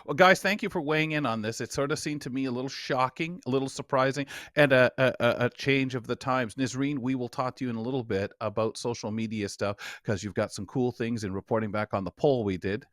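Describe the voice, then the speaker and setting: A man's voice: medium pitch, fairly even intonation, a little fast, few pauses, fairly bright tone, no room sound, studio quality. A man hosting a radio talk show in an emphatic, folksy, rambling conversational way.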